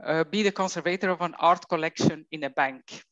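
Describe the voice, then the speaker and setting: A middle-aged Belgian woman with a Flemish accent, speaking English as a coach in a conversational interview, slow and thoughtful with frequent fillers. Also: sits low, no room sound, lo-fi sound. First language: Dutch.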